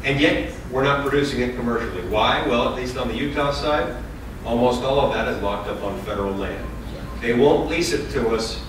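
A man's voice: medium pitch, moderate pace. Man, 30s, American; politician making his case at a town hall, listing points; distant, roomy, hissy recording. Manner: emphatic, lists points in runs. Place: large hall with audience. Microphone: far camera, no mic seen. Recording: echoey hall from far off, hiss.

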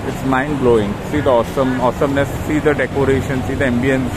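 A man's voice: mid-range voice, fairly even intonation, fast, background noise. A man, walking and filming a travel vlog, enthusiastically repeating words of praise. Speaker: travel vlogger. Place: outdoor plaza and large shopping mall. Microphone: phone at arm's length.

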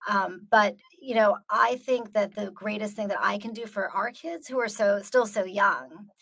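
Casting: middle-aged American woman, guest speaker on a video call, earnest, measured and reflective, mid-pitched voice. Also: a bit bright. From United States. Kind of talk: earnest talk answering audience questions.

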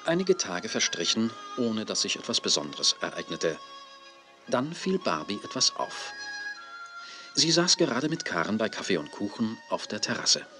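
A man acting as the calm narrator of a scripted children's audio play. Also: lowish voice, normal speed. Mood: storytelling. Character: calm male storyteller of a children's story. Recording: 1980s cassette audio play, music behind. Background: music.

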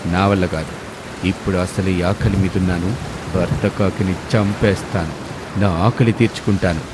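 Man voicing a low, menacing hungry cartoon eagle. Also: steady tone, normal speed, noisy background, natural voice. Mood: hungry, refusing.

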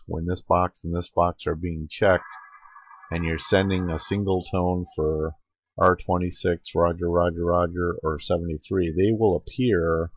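A man's voice: low-pitched, dry recording. A man narrating an informal screen-capture tutorial as an amateur radio operator, recorded close on a dull computer microphone.

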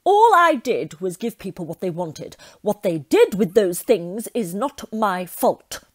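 A woman's voice: medium pitch, sing-song, unhurried. A woman playing a vain, petulant devil, a self-pitying comic villain in an audio drama, haughty and theatrically indignant.

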